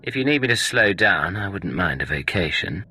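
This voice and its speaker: An actor playing a cool, defiant imperial secret agent in a game, terse and assertive, with a gravelly, husky voice. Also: male voice, low-pitched, sing-song, normal speed, pushed and bright, exaggerated voice.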